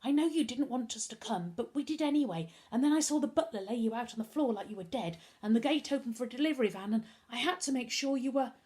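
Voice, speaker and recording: A woman's voice: fairly high pitch, sing-song, fairly quick, hardly any pauses, warm tone, voice pushed up. A woman voicing an anxious, loyal young girl in a storybook, urgent, breathless and insistent. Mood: rushed. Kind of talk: storybook character voice.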